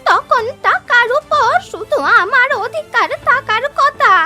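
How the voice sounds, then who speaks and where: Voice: extremely high pitch, animated, slow pace, bright, forceful tone, soft background music, full character voice. An actor playing a humble, anxious old village woman in a cartoon, plaintive and protesting. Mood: indignant, defensive. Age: older. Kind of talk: cartoon voice acting.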